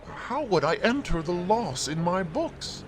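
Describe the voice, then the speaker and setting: An actor playing a fussy, self-important toy store manager in an audio drama. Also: male voice, high voice, sing-song, a little slow, slight background noise. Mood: worried, reluctant.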